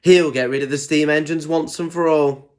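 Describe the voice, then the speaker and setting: A man's voice: on the high side, fairly even intonation, moderate pace, pitched above the performer's own voice, close to an everyday voice. A man voicing a rude, boastful male diesel engine in a children's storybook, snappy and full of himself.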